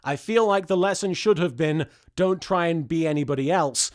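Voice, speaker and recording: A man hosting a sarcastic recap commentary, animated and sweary, with an incredulous manner. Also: on the high side, moderate pace. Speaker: host of an episode reaction series.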